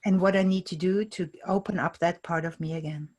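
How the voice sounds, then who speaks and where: A woman with a slightly low voice guides a tapping session. She speaks in short, paced phrases for another person to repeat. She names each tapping point as she goes. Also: steady tone, a little fast, a little mellow. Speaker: EFT tapping practitioner.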